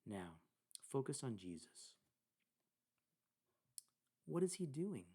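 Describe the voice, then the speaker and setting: A man leading a guided meditation, speaking deliberately in short phrases with pauses between them. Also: mid-range voice, big pitch swings, warm tone, breathy edge. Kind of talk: guided meditation narration with scripture reading.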